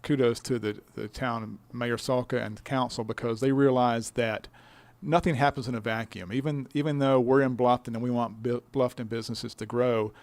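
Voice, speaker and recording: A man's voice: medium pitch, steady tone, normal speed, a little mellow, no room sound. A man speaking conversationally as an interviewed director, explaining things in a folksy, stop-and-start way. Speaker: business incubator director being interviewed.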